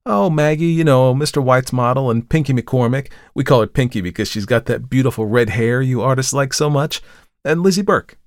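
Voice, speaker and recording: A man's voice: mid-range voice, fairly quick, no room sound, studio quality, pitched above the performer's own voice, lightly acted. A man voicing a cheerful, chatty young woman who works as an artist's model. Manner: bubbly, chatty.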